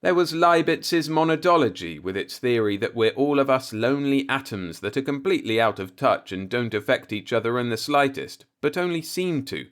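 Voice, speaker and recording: A man's voice: medium pitch, a bit bright, close to an everyday voice. A man voicing a cynical, musing older working-class man, a self-taught professor, in a folksy, rhetorical audiobook monologue.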